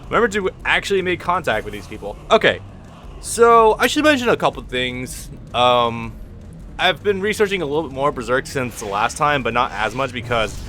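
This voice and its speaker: Male let's play host, loud projecting voice, bright edge, rambling and frank with the swearing. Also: mid-range voice, expressive, moderate pace, slight background noise. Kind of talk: casual gameplay commentary. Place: at home at his computer, thin walls. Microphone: computer recording mic.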